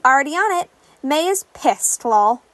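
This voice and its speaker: Woman as a brash teenage girl, high and lively. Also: a little slow, dry recording. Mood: determined, breezy. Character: brash, mischievous, loyal teenage girl hero trainee. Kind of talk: podfic reading of group-chat messages.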